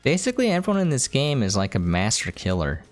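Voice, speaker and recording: A man's voice: medium pitch, huge pitch swings, fast talker, faint music behind. A man giving casual game commentary as a video host, in a laid-back, rambling way. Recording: clear background noise.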